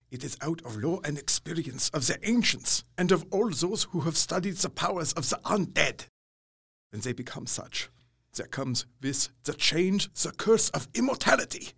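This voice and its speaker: A man's voice: a little high, expressive, normal speed, vocal fry, fairly bright tone, husky, exaggerated voice. A man playing an older vampire-hunting professor in a gothic audio drama, grave and fatherly, with solemn, emphatic delivery. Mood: solemn, explanatory.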